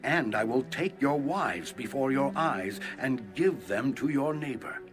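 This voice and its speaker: An actor playing a solemn, stern prophet pronouncing judgment in a measured, dramatized scripture reading. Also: male voice, mid-range voice, animated, over music, close to an everyday voice. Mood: grave pronouncement. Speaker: audio Bible voice actor.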